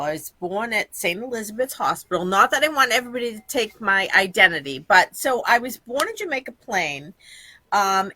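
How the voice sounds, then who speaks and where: Guest type: fifties woman, bright, candid rambling talker, dry recording. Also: medium pitch, dry recording. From Massachusetts, USA. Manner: blunt, chatty, rambling with many ums. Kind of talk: candid casual interview chat.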